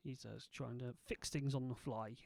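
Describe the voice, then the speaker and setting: A man hosting a video, casually thinking aloud as he tests software and mutters asides to himself. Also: medium pitch, steady tone, normal speed, gravelly, no room sound. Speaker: video host testing software.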